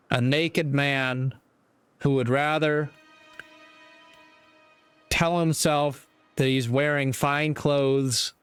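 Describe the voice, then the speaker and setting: A man giving a reflective, sermon-like monologue in a deliberate, measured way, pausing between short phrases. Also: mid-range voice, clean tone, no echo. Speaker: commentator giving a moral talk.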